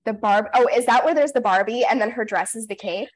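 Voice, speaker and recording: Young woman guest, bubbly and chatty, video-call sound. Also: fairly high pitch. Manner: bubbly, upbeat, quick to laugh. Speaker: voice actress guest. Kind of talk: casual video-call interview. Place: home room in front of blue backdrop. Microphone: webcam video call.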